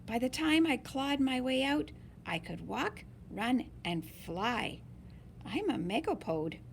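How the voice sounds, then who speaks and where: A woman reading a picture book aloud in an expressive, deliberate way for young listeners. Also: high voice, vocal fry, slight background noise. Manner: slow, deliberate, animated phrasing for young listeners. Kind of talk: expressive children's read-aloud.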